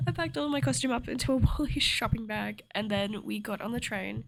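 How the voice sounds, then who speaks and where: A young woman vlogging in a chatty, rambling way, with a slightly croaky voice and frequent laughter. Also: fairly high pitch, steady tone, normal speed. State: a little croaky. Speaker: cosplay vlogger. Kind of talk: casual chat to camera.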